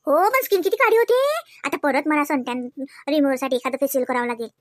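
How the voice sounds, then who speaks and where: कार्टूनमधील बडबड्या, खेळकर तरुण गावाकडच्या सुनेला आवाज देणारी स्त्री, वऱ्हाडी बोलीत. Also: female voice, high-pitched, fast, no echo, studio quality, natural voice. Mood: chatty, playful. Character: young village wife, chatty, playful, eager for raw mangoes. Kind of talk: comedy cartoon dialogue.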